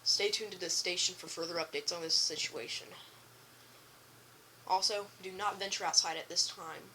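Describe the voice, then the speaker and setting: A woman reading a scripted emergency broadcast message as an announcer, in a halting way with some stumbles. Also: fairly low pitch, fairly even intonation, sharp tone, slight background noise, poor audio.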